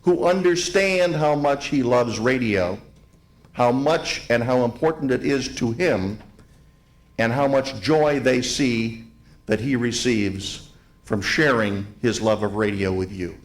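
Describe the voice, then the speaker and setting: A man delivering a ceremonial tribute to a live audience, speaking in deliberate, emphatic phrases with repetition. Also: medium pitch. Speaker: event speaker, museum president giving opening remarks. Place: hotel grand ballroom event.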